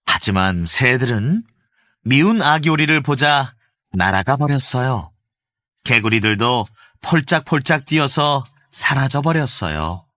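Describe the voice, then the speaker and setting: A man narrating a children's storybook with an expressive storytelling lilt. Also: medium pitch, a little slow, no room sound, studio quality.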